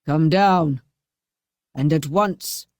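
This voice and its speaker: A woman reading the part of a cruel, menacing husband, drawing out his threats slowly and heavily. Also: sits low, a little mellow, no room sound, studio quality.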